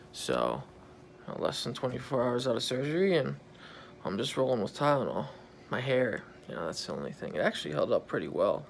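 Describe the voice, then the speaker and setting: A man in his thirties with a hoarse, croaky voice after intubation, speaking tiredly into a phone held at arm's length in a hospital room. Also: a little hiss or hum. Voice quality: gravelly, croaky. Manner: tired, low-key, relieved. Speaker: patient recording a video diary. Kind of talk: casual video journal.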